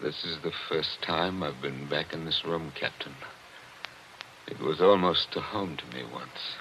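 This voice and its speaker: A man's voice: very deep voice, unhurried, a bit bright, a little hiss or hum, heavily acted. An actor playing a brooding, nostalgic man in a wistful, theatrical 1940s radio drama style. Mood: guarded. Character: Joe Parker, brooding nostalgic man haunted by old memories. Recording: dull vintage radio recording with music.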